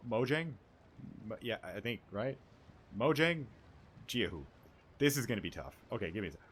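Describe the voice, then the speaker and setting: A man's voice: mid-range voice, very animated, moderate pace, fairly bright tone, a little hiss or hum. A man giving casual, informal playthrough commentary as a game streamer. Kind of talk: casual playthrough commentary, reading dialogue aloud.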